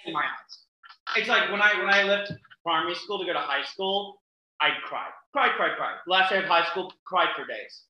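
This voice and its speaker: Australian male podcast guest; sharp, emphatic casual talker with repetition for effect; strong room echo. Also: high-pitched, moderate pace, lo-fi sound, a little hiss or hum. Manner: theatrical, emphatic, repeats words for effect. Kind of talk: casual chat, animated personal storytelling.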